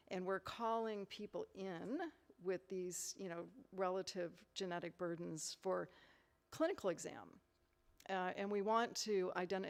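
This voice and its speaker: Low, gravelly-voiced woman lecturer. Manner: thoughtful, many "you know" fillers and restarts. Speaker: visiting lecturer answering questions. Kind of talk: conference Q&A answers. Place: lecture hall.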